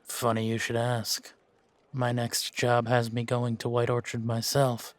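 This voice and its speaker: A man playing a world-weary, laconic monster-slaying mercenary in a fantasy roleplay audio drama, speaking in a measured, musing way with pauses. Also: medium pitch, monotone, lightly acted. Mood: relaxed, musing. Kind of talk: fan-made roleplay audio drama, unhurried monologue to a companion.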